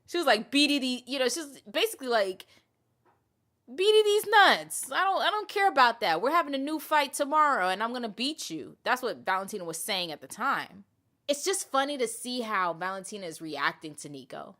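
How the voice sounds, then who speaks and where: A woman's voice: a little high, brisk. A woman hosting a commentary channel, talking casually and emphatically to viewers in slangy language, repeating her points and acting out quotes. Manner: animated retelling, acting out quotes; informal slangy talk with mild swearing. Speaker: host of an MMA commentary channel.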